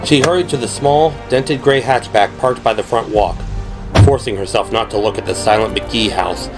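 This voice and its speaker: Male narrator for audio drama: lower register, measured storytelling delivery, prominent music underneath.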